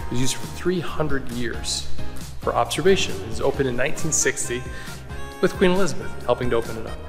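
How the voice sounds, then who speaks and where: A man's voice: mid-range voice, animated, brisk, creaky, music in the background. Joking man guiding a walking tour. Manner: chatty, joking, touristy explainer. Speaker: travel vlogger guide. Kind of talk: casual travel vlog narration. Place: outdoors in a park and museum halls.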